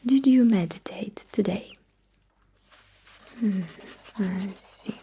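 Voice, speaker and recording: A woman performing a sci-fi ASMR roleplay in a breathy whisper, as a courteous, dryly witty alien mecha technician with a measured manner. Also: mid-range voice, dark tone.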